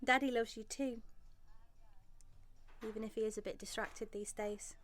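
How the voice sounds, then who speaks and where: A woman's voice: fairly high pitch, steady tone, normal speed, faint music behind, natural voice. A woman playing an anxious, loving young wife and mother in a radio drama. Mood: tender. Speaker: actress and narrator in a radio drama. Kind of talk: radio drama acting, dramatic first-person narration.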